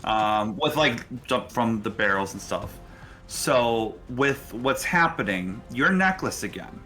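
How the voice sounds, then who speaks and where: A man's voice: mid-range voice, animated, slow, sharp tone, soft background music. A man narrating a tabletop roleplaying game as the game master.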